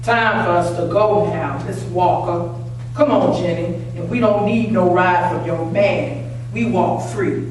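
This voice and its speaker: A woman's voice: lowish voice, unhurried, close to an everyday voice. Woman doing poor southern mama in a play reading, devout n stubborn, country talk, way off mic in a big echoey room. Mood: firm, guarded. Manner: plain-spoken, rural dialect. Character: poor devout mother, defiant and fiercely protective of her children. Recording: echoey room, distant mic, faint noise.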